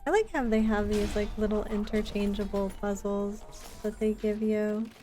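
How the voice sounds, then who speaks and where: A woman's voice: mid-range voice, steady tone, normal speed, dark tone. A woman giving casual, chatty and reactive live commentary while playing a video game. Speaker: game streamer. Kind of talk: casual live gameplay commentary, reading in-game notes aloud. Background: video game music.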